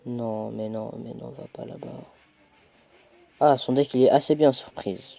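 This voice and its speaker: A man's voice: medium pitch, fairly even intonation, measured pace, gravelly, mellow. A young man with a cold, casually commentating his own mobile game match, chatty and self-mocking, muttering as he plays. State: stuffy with a cold.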